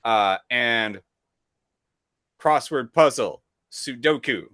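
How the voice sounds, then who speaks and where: A man's voice: mid-range voice, animated, slow, bright, forceful tone, no echo, clean studio sound. A man co-hosting a podcast in casual conversation, blunt and profane, telling stories with a rambling flow. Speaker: podcast co-host.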